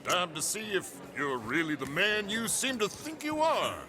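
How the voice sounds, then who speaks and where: An actor playing a proud, boastful gambler in a video game, pompous and goading. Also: male voice, high-pitched, huge pitch swings, moderate pace, crowd noise. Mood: haughty. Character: haughty boastful male gambler, proud and easily needled. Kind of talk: cinematic video game acting. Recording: music behind the voice.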